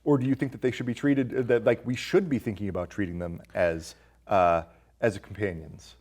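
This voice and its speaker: A man's voice: lowish voice, steady tone, fairly quick, darkish tone. Man hosting a conversational film podcast. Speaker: podcast host.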